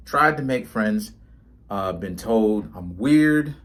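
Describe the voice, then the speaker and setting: A man's voice: fairly low pitch, animated, slow pace. A man, talking casually to the camera in a rambling way, often tagging his lines with "right?". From Trinidad and Tobago, raised in New York City. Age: 30s. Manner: rambling, often tags lines with "right?" and "you know what I'm saying". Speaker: tarot reader and vlogger.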